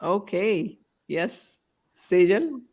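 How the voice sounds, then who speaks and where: A podcast host running a rapid-fire question round and affirming each answer in an encouraging way. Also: mid-range voice, animated, darkish tone.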